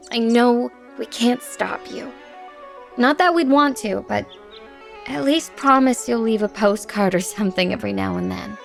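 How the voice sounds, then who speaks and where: An actress playing a feisty, caring young friend in an audio drama, emphatic and slangy. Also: female voice, fairly high pitch, animated, measured pace, gravelly, faint music behind. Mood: exasperated, pleading.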